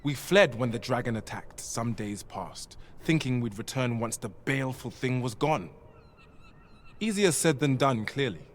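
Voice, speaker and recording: An actor playing a polite, earnest displaced villager in a fantasy video game, with courteous archaic phrasing. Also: male voice, mid-range voice, lively intonation, a little slow, a little hiss or hum, natural voice. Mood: worried, pleading.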